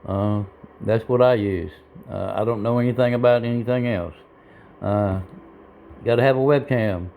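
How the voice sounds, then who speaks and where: A man giving an informal hands-on tutorial in a halting, plain-spoken, self-deprecating way, recorded indoors on a handheld device. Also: medium pitch, warm tone. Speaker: hobbyist video tutorial presenter. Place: indoors at a home radio bench. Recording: clear background noise, room ambience.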